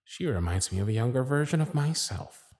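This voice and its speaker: A man's voice: mid-range voice, lively intonation, fairly quick, a little mellow, no room sound, clean studio sound, lightly acted. A man playing a jaded century-old supervisor. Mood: weary, stern. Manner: sardonic, blunt. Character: jaded male workshop supervisor, century-old, gruff and weary. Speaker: voice actor in audio roleplay. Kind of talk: ASMR roleplay acting.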